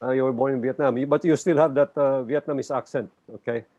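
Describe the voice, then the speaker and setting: Halting male panel moderator, dry sound. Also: mid-range voice, steady tone, a little mellow, no room sound, highs cut off. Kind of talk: hesitant conversational moderating, many fillers.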